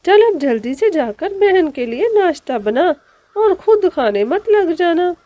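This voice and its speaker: A woman's voice: very high-pitched, very animated, a little slow, warm tone, no room sound, pitched above the performer's own voice, full character voice. A woman voicing a cruel, bullying stepmother bird who scolds harshly in a cartoon story. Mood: angry, scolding.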